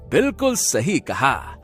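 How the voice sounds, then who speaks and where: A man voicing an excitable, simple-hearted poor village farmer in a cartoon story, with wide-eyed exclamations. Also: medium pitch, full character voice. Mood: delighted. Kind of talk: Hindi cartoon story voice acting.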